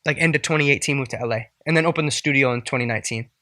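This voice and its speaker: A man's voice: mid-range voice, rapid-fire, sharp tone, no room sound, clean studio sound. A man in his twenties from the Bay Area, a musician guest telling his story in a laid-back, rambling way in a house. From Bay Area, California. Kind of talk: casual interview chat. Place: indoors at a house.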